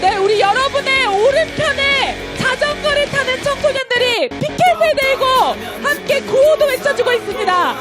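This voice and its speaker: Very high-pitched woman shouting rally slogans. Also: lively intonation, moderate pace, hardly any pauses, music in the background. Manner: impassioned, declamatory, shouting slogans. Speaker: rally host leading chants. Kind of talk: protest speech and chant leading through loudspeakers. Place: outdoor street march beside a park.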